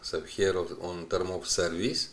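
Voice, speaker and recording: Guy with a deep voice doing a website walkthrough, not a native english speaker, kinda halting, searches for words. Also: fairly even intonation, a bit bright. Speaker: website tutorial narrator. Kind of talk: unscripted screen-recorded walkthrough.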